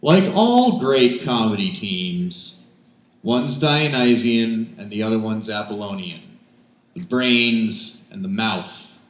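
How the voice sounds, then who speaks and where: A man performing spoken word poetry at an open mic, emphatic when reciting, with self-deprecating, rambling asides. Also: fairly low pitch. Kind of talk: spoken word poetry reading with casual banter between pieces. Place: indoors, small cafe open mic. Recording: roomy live cafe recording with background noise.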